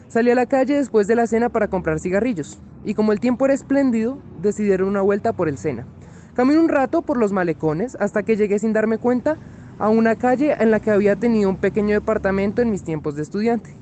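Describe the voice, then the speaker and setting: Man playing a high-voiced, reflective castaway. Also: warm tone, a little hiss or hum, lightly acted. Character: French self-chosen castaway, former comfortable family man, solemn. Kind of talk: radio theatre monologue.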